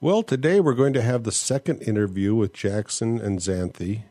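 A man hosting a casual podcast, talking in a relaxed, conversational way and reading listener emails aloud. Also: on the low side, moderate pace, faint music behind. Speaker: podcast host. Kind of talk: casual solo podcast with ad read and listener mail.

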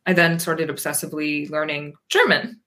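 A woman's voice: deep voice, steady tone, normal speed, pushed and bright. A woman in her thirties from Pennsylvania, a guest in a casual interview, talking thoughtfully with many ums and restarts. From Pennsylvania, USA.

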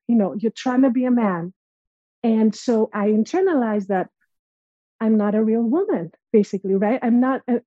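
A woman's voice: on the high side, mellow, no room sound, highs cut off. A young woman from Greece telling a personal story as a podcast guest, earnest and reflective. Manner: earnest, reflective, acts out quoted voices.

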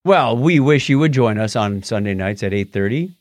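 A man's voice: mid-range voice, normal speed, dry recording, studio quality. A man co-hosting a casual tech podcast, rambling and correcting himself as he talks. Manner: rambling, self-correcting, lively. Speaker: podcast co-host.

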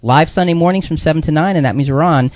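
A man with a fairly high voice hosts a religious talk show on radio. He explains things conversationally, often repeating a phrase to stress it. The sound is close and dry, slightly dulled. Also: fast, no room sound, highs cut off. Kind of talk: live religious radio discussion.

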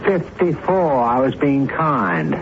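An actor playing a pompous, sardonic theater critic and indignant ex-husband in a radio drama, haughty and emphatic. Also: male voice, mid-range voice, very animated, takes it slowly, background noise. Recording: dull band-limited 1970s radio recording, music.

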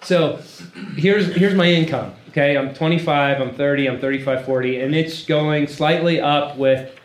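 A man gives an informal sermon to a gathering, conversational but emphatic, often repeating a phrase for weight. His voice sits a little high for a man. The recording is roomy and echoey, with faint noise behind him. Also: moderate pace. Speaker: preacher leading a teaching session. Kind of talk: informal sermon to a live group. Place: room, speaking live to a gathering. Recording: echoey room with background noise.

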